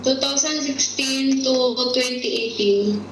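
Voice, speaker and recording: A woman's voice: high voice, a little slow, a bit bright. A Filipino-accented woman from the Philippines answering job interview questions in short, deferential replies that often end in sir. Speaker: job applicant for household work. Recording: distant mic, echoey noisy room.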